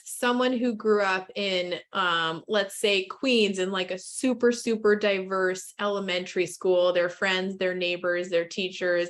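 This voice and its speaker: Woman, lecturer, medical seminar, conversational, thinking aloud, repeats herself. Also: lowish voice. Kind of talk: academic discussion with residents.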